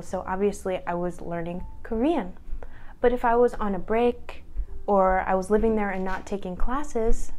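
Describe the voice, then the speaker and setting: A young woman in her twenties chats to her camera at home in an easy, upbeat way, laughing at herself as she goes. Her voice sits at an ordinary middle pitch, and soft music plays faintly underneath. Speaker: vlogger. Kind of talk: casual chat to camera.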